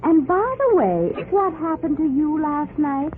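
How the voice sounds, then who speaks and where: Wry, lively 1940s newspaperwoman. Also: high voice, a little slow. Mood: wry, chiding. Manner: wry, teasing. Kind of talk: 1940s radio drama acting. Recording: narrow 1940s radio recording with music.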